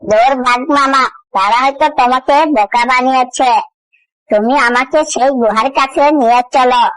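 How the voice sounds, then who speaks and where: A woman plays a nosy little storybook monkey, cheeky and sure of itself. The voice sits fairly high, in a dry cartoon recording. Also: steady tone, moderate pace, fairly bright tone, dry recording, low-quality recording. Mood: dismissive, confident. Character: cheeky, curious, confident small monkey. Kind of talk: cartoon fairy-tale acting.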